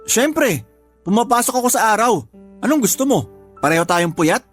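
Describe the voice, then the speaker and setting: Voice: medium pitch, big pitch swings, moderate pace. A voice actor playing a lazy young husband who answers his wife in a whiny, defensive way. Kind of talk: Tagalog radio drama acting. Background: music.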